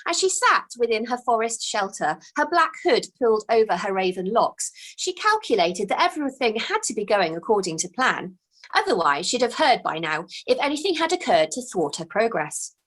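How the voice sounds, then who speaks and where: A woman author reading her children's book aloud with expressive, unhurried storytelling. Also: on the high side.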